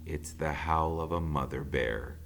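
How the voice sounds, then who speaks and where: A man reading a children's novel aloud in a theatrical, emphatic storytelling style, with dramatic pauses. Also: rumbling low, a bit bright, a little hiss or hum.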